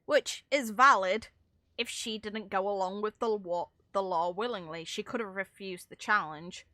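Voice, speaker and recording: A woman's voice: medium pitch, normal speed, pushed and bright, no room sound. A woman talking casually and analytically through game lore, with opinionated, rambling asides. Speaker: content creator commentating on a game.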